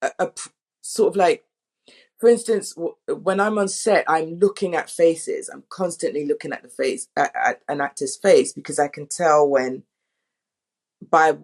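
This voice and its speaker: A woman's voice: deep voice, a little mellow. A woman speaking as a director in a casual interview, chatty and often interrupting herself. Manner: chatty, halting, self-interrupting. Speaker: director, panel guest.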